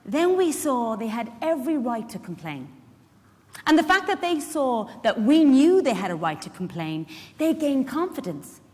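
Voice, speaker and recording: A woman's voice: high voice, big pitch swings, normal speed, a little mellow. A woman with an Irish accent performing a live dramatic monologue as a feisty, protective care worker, in a conversational, wry manner. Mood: indignant, pleading. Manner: conversational, wry, rhetorical questions to the audience. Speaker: actor in a dramatic reading. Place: large indoor event hall. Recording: echoey hall, live stage reading.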